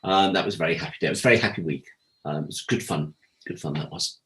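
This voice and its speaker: A British man with a low voice speaks as a guest in a relaxed interview. He tells behind-the-scenes stories conversationally, with animated delivery and quoted exchanges acted out. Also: fast talker. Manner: animated storyteller, acts out quoted dialogue. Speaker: interview guest, former audio drama producer. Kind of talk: casual anecdotal interview chat.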